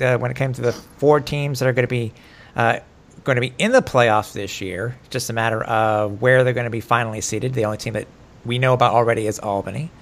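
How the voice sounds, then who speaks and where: A man's voice: medium pitch, a bit bright. Rambling man hosting a sports podcast. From English-speaking, living in Montreal. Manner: rambling, filler-heavy, self-deprecating. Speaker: podcast host. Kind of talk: casual sports podcast chat.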